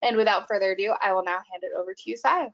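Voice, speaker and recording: A woman hosting an online workshop, giving a brisk, polite scripted welcome before handing over to the presenter. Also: medium pitch, a bit bright. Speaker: webinar host. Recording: slightly muffled webinar audio.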